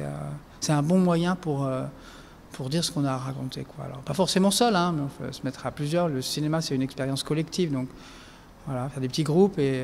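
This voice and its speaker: A man's voice: mid-range voice, gravelly, darkish tone, big-room sound, a little hiss or hum. A man speaking as a documentary filmmaker giving reflective interview answers, hesitant, with many fillers and restarts.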